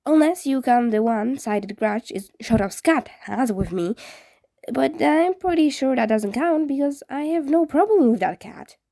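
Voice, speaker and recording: A woman voicing a friendly, excitable teenage boy ghost in a story, with eager, emphatic, casual speech. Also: high voice, normal speed, gravelly, dry recording. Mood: frantic, insistent.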